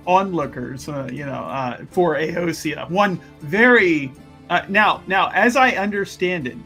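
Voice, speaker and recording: Slow-talking, higher-pitched man at a game. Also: expressive, sharp tone, faint music behind. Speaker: tabletop game player. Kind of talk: casual in-game narration.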